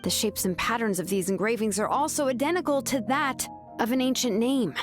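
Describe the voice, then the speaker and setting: Woman voicing a sharp laid-back young woman, calm knowing tone, fairly high voice, soft music behind. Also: fairly quick, lightly acted. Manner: calm, knowing, casually reasoning. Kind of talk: video game dub acting. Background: game music.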